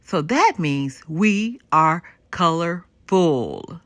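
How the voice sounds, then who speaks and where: A woman voicing a kindly, wise grandmother in a children's storybook, with an emphatic, gently teaching delivery. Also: lowish voice, lively intonation, drawn-out words, no room sound, full character voice. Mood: celebratory. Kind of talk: children's storybook read-aloud.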